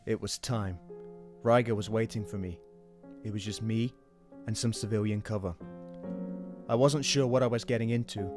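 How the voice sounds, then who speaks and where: A man's voice: fairly low pitch, fairly even intonation, moderate pace, music in the background, close to an everyday voice. A man playing a grim, determined police detective in an amateur radio play, earnest and hard-boiled in manner. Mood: grim, hushed.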